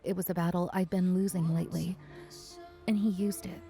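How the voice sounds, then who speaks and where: A woman's voice: on the low side, fairly even intonation, a little fast, creaky, warm tone, faint music behind. A woman playing a weary, self-conscious but defiant young woman in a first-person audio drama. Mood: wounded, confessional.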